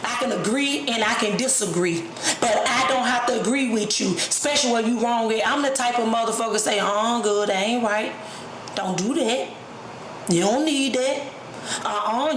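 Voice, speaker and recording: Blunt, high-voiced woman in her thirties. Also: echoey room, background noise. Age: 30s. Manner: blunt, streetwise, preachy, profane. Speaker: vlogger. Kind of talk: unscripted personal rant.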